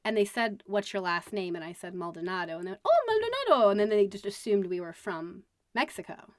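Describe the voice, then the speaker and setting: A woman co-hosting a podcast in casual chat, talking quickly and laughing often. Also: medium pitch, expressive, dry recording, studio quality. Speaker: podcast co-host.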